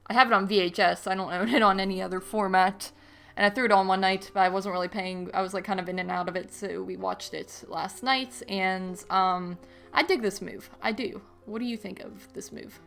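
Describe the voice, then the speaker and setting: A woman co-hosting a podcast, talking about movies in a casual, halting, conversational way. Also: mid-range voice, steady tone, vocal fry, fairly bright tone. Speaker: podcast co-host. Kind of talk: casual movie-review chat.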